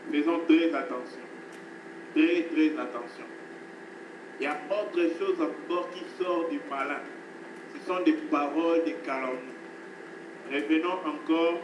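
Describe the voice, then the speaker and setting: Preaching man in an echoey hall. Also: fairly high pitch. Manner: emphatic preaching, repeats phrases, addresses listeners as beloved. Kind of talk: sermon. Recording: echoey, distant, noisy hall recording.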